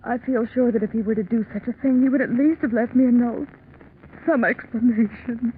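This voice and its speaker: A woman's voice: on the high side, fairly quick, natural voice. An anxious woman voiced by an actress in an old radio mystery, a hesitant accomplice to her lover. She speaks in period 1940s style through a muffled recording with faint noise. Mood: composed testimony. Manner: worried, pleading.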